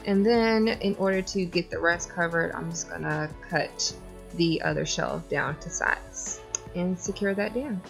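Low-voiced woman explaining a DIY build. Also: unhurried, creaky, soft background music. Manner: plain step-by-step explaining. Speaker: DIY vlogger. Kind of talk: casual how-to narration. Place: small closet in a house.

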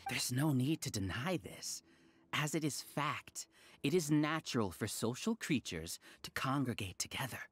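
A man's voice: mid-range voice, lively intonation, measured pace, fairly bright tone, breathy edge. A man voicing an arrogant, resentful researcher villain in an anime video game, speaking in formal, theatrical speeches. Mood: lecturing. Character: arrogant, resentful male researcher leading a hive mind.

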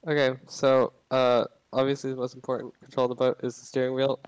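A man's voice: medium pitch, monotone, fairly quick, clean tone, dry recording, poor audio. Young male narrator, loose unscripted tutorial talk, dry and close. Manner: rambling, many ums and restarts. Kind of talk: casual gameplay tutorial.

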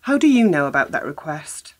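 A woman's voice: low-pitched, very animated, fairly quick, darkish tone. An actress playing a wary, sardonic young working woman in a radio play, curt, dry and challenging. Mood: challenging, suspicious.

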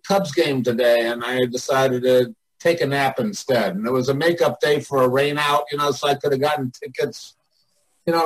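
An older man, a coach and mentor, talking casually and reflectively in a candid way with pauses. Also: mid-range voice, low-quality recording.

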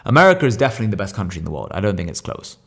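A young English man talks fast and bluntly, cursing as he goes. His voice sits a little low, and he speaks into a boom mic at a table indoors. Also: very animated, a bit bright. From England. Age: 20s. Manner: fast, blunt, swears freely. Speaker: podcast guest, business owner. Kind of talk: casual podcast conversation. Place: room seen, small room at a table indoors. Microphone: boom mic on an arm.

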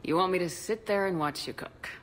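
A woman's voice: on the low side, a little fast, close to an everyday voice. A woman playing a confident, dry-humored female military commander in a video game. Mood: flirtatious, wry. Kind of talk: video game cutscene acting. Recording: game music behind the voice.

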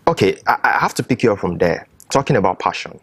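Male host, lowish husky voice, dry studio sound, formal interviewer. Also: very animated, fast, a bit bright, dry recording. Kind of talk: scripted studio interview introduction.

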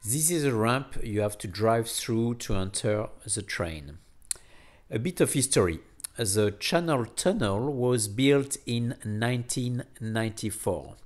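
A man narrating a casual travel vlog in a hesitant, halting way, often repeating words. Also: lowish voice, expressive. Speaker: vlogger.

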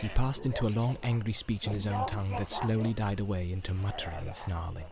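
A man's voice: fairly low pitch, steady tone, quick pace. A man reading scripted fiction as a dramatized audiobook narrator. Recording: clear background noise of ambience.

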